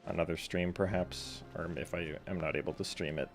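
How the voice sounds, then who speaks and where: A man's voice: sits low, steady tone, fairly quick, gravelly, over music. A man doing casual live game commentary as a streamer, rambling and offhand.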